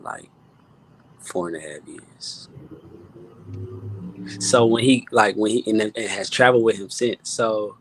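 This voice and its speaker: A young adult man chatting casually as an interview guest, earnest and halting, often repeating his phrases. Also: low-pitched, a bit bright, a little hiss or hum, poor audio. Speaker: interview guest, music producer. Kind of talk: casual chat.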